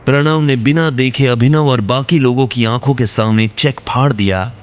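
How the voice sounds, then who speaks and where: A man's voice: medium pitch, normal speed, smooth. A man with a Haryanvi accent reading scripted narration for an audio drama.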